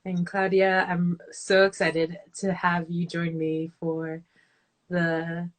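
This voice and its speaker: A woman hosting a podcast interview over a video call, talking in an effusive, heartfelt way and often trailing off softly. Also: fairly low pitch, monotone, takes it slowly. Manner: warm, effusive, trailing into softer asides. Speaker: podcast host. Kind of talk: casual interview.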